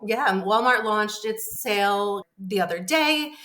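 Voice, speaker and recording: Mid-pitched woman explaining in an interview. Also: expressive, unhurried, fairly bright tone. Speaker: shopping columnist, interview guest.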